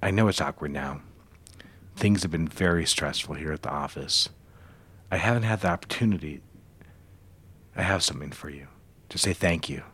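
A male advertising boss from a 1960s audio drama. His voice sits very low. He speaks with measured insistence, smooth and controlling, as someone used to giving orders. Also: a bit bright, heavily acted. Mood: intimate, possessive. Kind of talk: period audio drama acting.

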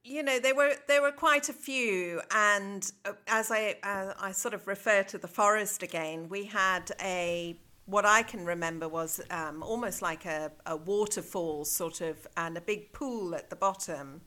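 A woman's voice: mid-range voice, a little slow, few pauses, pushed and bright. A middle-aged woman with a South African accent, talking reflectively and conversationally as an interview guest. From South Africa, born in Zambia. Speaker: interview guest, head of a junior school.

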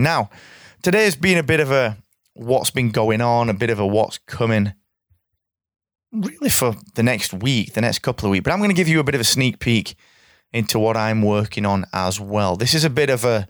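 A man with a croaky voice, hosting a solo podcast in a straight-talking, energetic way and repeating phrases for emphasis. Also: mid-range voice, no room sound, clean studio sound. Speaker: podcast host.

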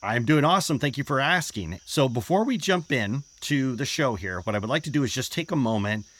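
A male podcast host with a lively, bouncing delivery whose pitch swings up and down. He sounds upbeat and energetic, and he often rephrases a question two or three times before his guest answers. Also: brisk. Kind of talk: interview hosting.

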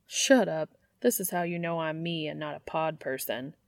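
A woman's voice: deep voice, normal speed, creaky, no room sound. A woman voicing a brash, flustered young man in an audiobook, blurting and teasing with sarcasm. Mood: embarrassed. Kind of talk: audiobook character voice.

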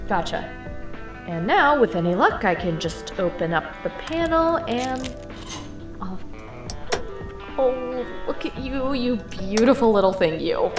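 A woman's voice: a little high, lively intonation, slow pace, long pauses. Playful, self-assured woman burglar, roomy echo. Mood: smug, playful. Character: cocky, encouraging woman burglar, expert at breaking locks. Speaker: voice actor in a fiction podcast. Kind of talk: naturalistic audio drama acting. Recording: roomy echo, music underneath.

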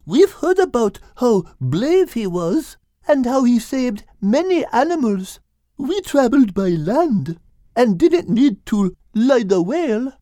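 A man's voice: very high-pitched, sing-song, takes it slowly, warm tone, no room sound, clean studio sound, voice pushed up, exaggerated voice. A man voicing a friendly, polite female giraffe in a storybook reading, courteous and full of wonder.

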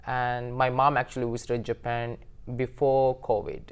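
A man's voice: mid-range voice, flat delivery, normal speed, smooth. A man, answering interview questions earnestly and agreeing often. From Sri Lanka. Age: 20s. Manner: earnest, agreeable, with many short yeses and some hesitant ums. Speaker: interview guest.

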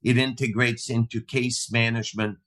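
A man speaking as a tech executive in an interview, measured and corporate in tone, with slightly non-native grammar. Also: mid-range voice, level tone, a bit bright. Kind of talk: interview answers.

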